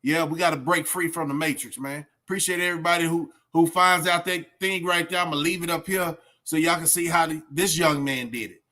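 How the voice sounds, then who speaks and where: A man's voice: a little high, steady tone, fairly quick, few pauses, pushed and bright. A man with a Southern US accent giving casual reaction commentary in a colloquial, emphatic way, full of rhetorical questions. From southern United States. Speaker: YouTube reaction host.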